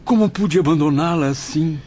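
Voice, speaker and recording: A man's voice: on the high side, normal speed, mellow, slight background noise, close to an everyday voice. An actor playing a confused, anguished, remorseful man in a dramatized audiobook, with a Brazilian accent and troubled, questioning delivery. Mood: grieving. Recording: muffled recording over background music.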